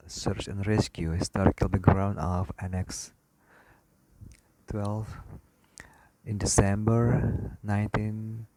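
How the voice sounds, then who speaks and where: A man reading aviation study material aloud in a slow, halting way, often restarting a word. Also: lowish voice, noisy background. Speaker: aviation instructor.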